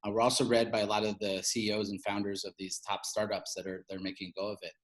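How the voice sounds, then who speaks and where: A man's voice: on the low side, level tone, quick pace. A man speaking as an interview guest, explaining his field in an informal, conversational way. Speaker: interview guest, fintech media founder.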